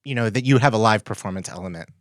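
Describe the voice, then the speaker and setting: A man's voice: lowish voice, racing, dry recording, studio quality. A man hosting a casual interview podcast, tentatively rephrasing his questions and thoughts as he goes.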